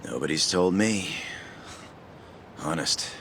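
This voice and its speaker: Game gangster: low, fry-heavy growl, edgy, defensive, insistent. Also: male voice, animated, slow, fairly bright tone, slight background noise, exaggerated voice. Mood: wary, insistent. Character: wary, edgy male yakuza gangster. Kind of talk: English video game cutscene acting.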